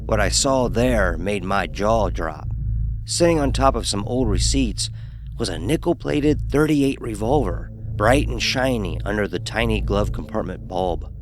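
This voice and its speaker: Man acting a cocky young frat pledge, low-voiced. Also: lively intonation, unhurried, slight background noise. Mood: storytelling. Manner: sarcastic, dismissive. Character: cocky, sneering young fraternity pledge, narrating his 1970s misadventure. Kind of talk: first-person horror story narration with acted dialogue.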